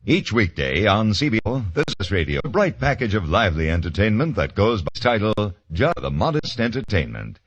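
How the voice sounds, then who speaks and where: A man's voice: fairly low pitch, sing-song, brisk, hardly any pauses. A man announcing scripted network promos in a polished 1950s radio style. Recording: dull vintage radio recording.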